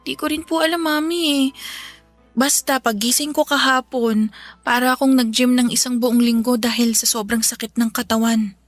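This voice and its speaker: A woman's voice: a little high, fairly even intonation, normal speed, soft background music, lightly acted. A woman playing a polite, anxious, ailing young office worker in a Filipino radio drama, with a Filipino accent. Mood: weak, puzzled. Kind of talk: Tagalog radio drama acting.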